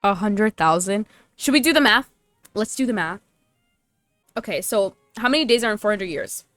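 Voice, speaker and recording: A woman's voice: mid-range voice, lively intonation, moderate pace, a bit bright, no echo. Chatty woman gamer, dry close sound. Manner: gushing, chatty, informal. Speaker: gaming YouTuber. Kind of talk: casual playthrough commentary with reading aloud. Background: faint game music.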